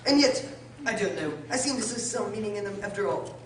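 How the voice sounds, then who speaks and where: A pompous, muddled old-fashioned King of a radio play, acted by a woman, blusters and mutters from the bench. The delivery swings widely in pitch. It sounds distant, in a very echoey, noisy room. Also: expressive, brisk, a crowd in the background, close to an everyday voice. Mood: pondering, pleased. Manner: blustering, hesitant, with muttering and stammering. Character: pompous, muddled King presiding as judge over a trial. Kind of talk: stage-like radio drama acting.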